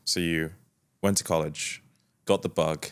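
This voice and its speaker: A man with a deep voice hosting a podcast. He speaks casually and informally, in a matey way, like someone interviewing an acquaintance. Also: steady tone, unhurried, clear, resonant tone. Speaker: podcast host.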